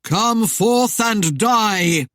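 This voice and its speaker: A woman voicing a menacing male rider posing as vengeful Death, with an eerie, droning, booming voice and slow, archaic speech. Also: lively intonation, no echo, clean studio sound. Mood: menacing, drawn-out.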